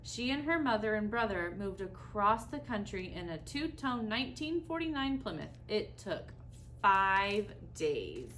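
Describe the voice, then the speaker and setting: A woman reads a children's story aloud over faint background noise. Her voice sits at a middle pitch, and she leans on key words with deliberate, dramatic pauses. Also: very animated, a bit bright, rough recording.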